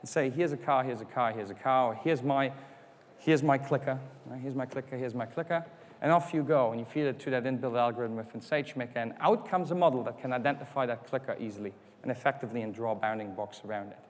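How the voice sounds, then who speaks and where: Non-native guy doing a tech talk on stage, mid pitch, lots of rhetorical questions, hall echo. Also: fast. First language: not English. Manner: explanatory, rhetorical questions, acts out customer quotes. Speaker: conference speaker. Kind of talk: tech conference keynote. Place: conference hall. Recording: hall reverb.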